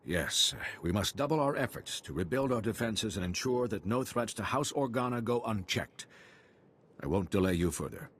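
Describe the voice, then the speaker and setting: An actor playing a courteous, loyal and stoic nobleman in a video game, with a husky voice and formal, gracious manner. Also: lowish voice, expressive, moderate pace, fairly bright tone, a little hiss or hum. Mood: resolute, stoic. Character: courteous, loyal male minor nobleman, wounded but stoic.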